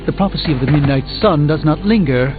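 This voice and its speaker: A proud, learned sorcerer played by a man speaks in formal, elaborate sentences with dry wit and a fairly high male voice. It is video game dialogue, heard through a thin, narrow-band recording with music clearly behind it. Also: lively intonation, fast, close to an everyday voice. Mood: grave, urgent. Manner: formal, wordy, self-important. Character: proud, erudite male sorcerer, dryly witty. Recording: thin band-limited audio under loud music.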